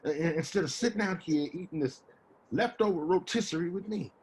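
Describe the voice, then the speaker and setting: An actor playing a rambling, wheedling homeless man with American street slang and a husky, stammering delivery, in a stage play reading. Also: high-pitched, fairly quick, a little hiss or hum. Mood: coaxing. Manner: stammering, repeats words, folksy street slang.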